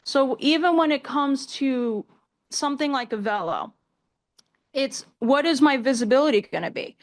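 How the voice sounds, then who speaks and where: Woman, twenties, American accent, higher-pitched voice, emphatic interview guest explaining in conversational style. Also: animated, moderate pace. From New York State, US. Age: 20s. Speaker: activist guest, community organizer.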